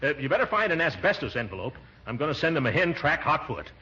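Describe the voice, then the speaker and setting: An actor playing a pompous, bumbling middle-aged uncle in 1940s radio comedy, hemming and theatrical. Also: male voice, a little high, big pitch swings. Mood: halting, dictating. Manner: hemming, halting, theatrical delivery. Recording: dull, noisy 1940s radio recording.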